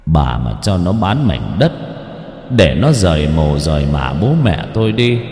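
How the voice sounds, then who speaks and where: A man voicing the stern ghost of an old father, speaking slowly in a low, grave, threatening tone. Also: very animated, a little mellow, echoey room, big-room sound, exaggerated voice.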